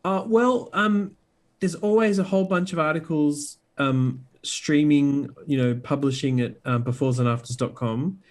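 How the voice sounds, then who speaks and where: A man's voice: on the high side, moderate pace, darkish tone. Man hosting a casual podcast. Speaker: podcast host.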